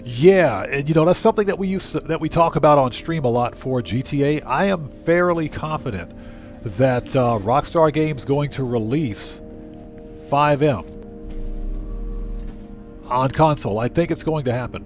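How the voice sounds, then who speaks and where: A man's voice: a little high, lively intonation, moderate pace, soft background music. A man hosting a casual gaming livestream, talking informally to viewers as he plays. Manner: conversational, informal, reads out chat and answers it. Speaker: live streamer, channel host. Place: indoor room at home.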